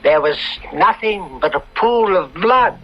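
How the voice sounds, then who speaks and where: A genial, fatherly nobleman in a vintage radio drama. He speaks in a measured, reassuring way with a somewhat high voice, on a dull-sounding broadcast. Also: animated. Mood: puzzled, grave. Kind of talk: old-time radio drama acting. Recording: muffled 1970s radio drama with music.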